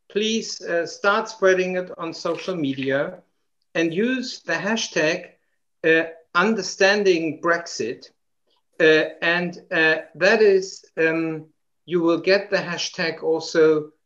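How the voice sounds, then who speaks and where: Slow, hesitant man hosting a webinar. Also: fairly high pitch, a bit bright. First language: German. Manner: hesitant, many fillers. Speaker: host. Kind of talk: hosting an online discussion. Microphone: video call. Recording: dull video call audio.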